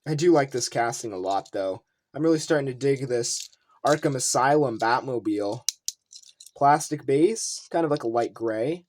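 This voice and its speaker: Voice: mid-range voice, expressive, moderate pace, darkish tone. A video host giving casual unboxing commentary, rambling and thinking aloud while showing collectibles. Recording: noisy room with clicking handling sounds.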